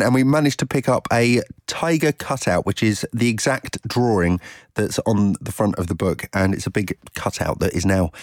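A man with a fairly low voice shares a personal anecdote like a presenter. The delivery is chatty storytelling, recorded dry without room echo. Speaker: radio presenter.

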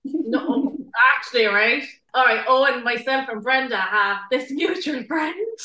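A woman with a high, bright voice that rings a little, recorded in a room with a clear echo. She chats casually and laughs through her stories. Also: measured pace. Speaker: podcast co-host. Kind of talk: casual chat.

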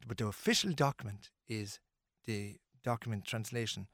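A man's voice: on the low side, moderate pace, a bit bright, dry recording, clean studio sound. A middle-aged Irish man, a musician being interviewed, talking earnestly and emphatically with many restarts and fillers. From Ireland.

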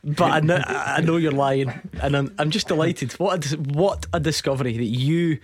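A Scottish man hosting a football radio show in the studio, slightly higher than most men. He teases his co-hosts in casual banter and laughs often. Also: normal speed.

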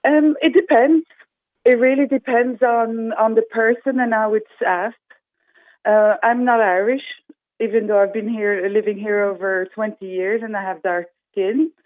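A woman's voice: on the high side, fairly even intonation, unhurried, dry recording. Thoughtful woman caller over a tinny phone. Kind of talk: radio phone-in discussion.

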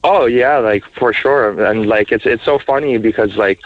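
A man's voice: medium pitch, moderate pace, no room sound. Man in his twenties on a muffled call. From mixed, raised largely in the United States. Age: 20s. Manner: rambling, heavy with fillers like "you know" and "like". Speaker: caller. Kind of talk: radio phone-in call. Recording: muffled phone-in line.

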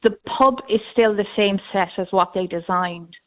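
A woman who owns a pub, talking on a radio phone-in in a friendly, measured way. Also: medium pitch, steady tone. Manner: friendly, measured, frequent "yes". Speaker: pub owner, caller. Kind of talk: phone interview. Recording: tinny phone line.

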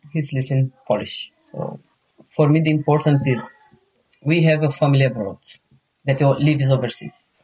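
A Brazilian woman whose first language is Portuguese, speaking earnest, halting English with a Brazilian Portuguese accent in a recorded interview. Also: rumbling low, darkish tone, low-quality recording. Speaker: interviewed parent.

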